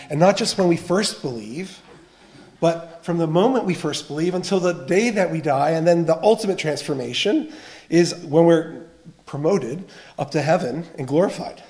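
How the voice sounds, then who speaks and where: A man's voice: on the high side, lively intonation, normal speed, darkish tone. A middle-aged American man from the United States, giving an earnest church teaching talk on counseling, often restarting his phrases. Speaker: biblical counseling trainer.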